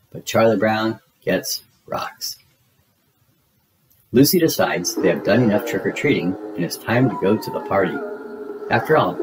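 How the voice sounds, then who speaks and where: A man narrating a children's storybook in an expressive, deliberate storytelling manner. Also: on the low side, background noise. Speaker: read-aloud narrator. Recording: music behind the voice. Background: music.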